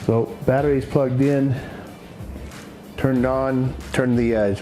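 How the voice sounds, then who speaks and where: A man giving an unscripted product demonstration in a halting, matter-of-fact way, as a presenter explaining equipment. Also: mid-range voice, level tone, warm tone, soft background music. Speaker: presenter demonstrating radio gear. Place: indoors in a house.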